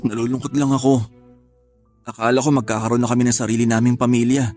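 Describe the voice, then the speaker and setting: Man playing a heartbroken, soft-spoken older brother. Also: mid-range voice, level tone, quick pace, faint music behind, natural voice. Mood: sad. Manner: soft-spoken, sighing, self-blaming. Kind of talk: radio drama acting.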